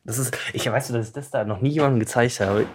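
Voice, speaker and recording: A man's voice: mid-range voice, quick pace, a little hiss or hum. A man in a casual conversation telling his own story, sounding nervous and self-conscious. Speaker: guest telling a story from school days.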